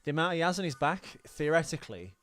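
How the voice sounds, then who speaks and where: A man's voice: on the high side, big pitch swings, fast talker, fairly bright tone. A man co-hosting a podcast, talking casually about films in a rambling, wry, explanatory way. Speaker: podcast co-host. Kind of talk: casual film discussion.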